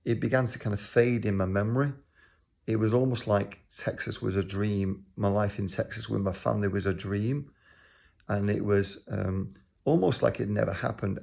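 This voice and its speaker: A grandfather from Manchester speaks to the camera with a low, gravelly voice that stays flat and barely rises or falls. He rambles through a personal story, filling the pauses with ums and uhs. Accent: Manchester English. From England. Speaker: organisation leader.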